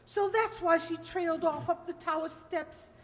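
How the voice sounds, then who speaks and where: Lady doing a posh rich dowager, very high and lively, bossy and breezy, echoey hall radio play. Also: moderate pace. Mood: breezy, triumphant. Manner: grand, emphatic, imperious. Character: rich English dowager, breezy, commanding, delighted. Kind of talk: old-time radio drama acting. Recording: echoey hall, long reverb.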